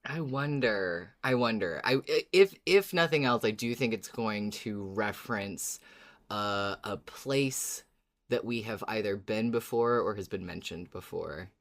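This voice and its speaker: A woman with an extremely low voice co-hosts a casual fan podcast. Her delivery is measured, and she likes to repeat a phrase for emphasis. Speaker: podcast co-host.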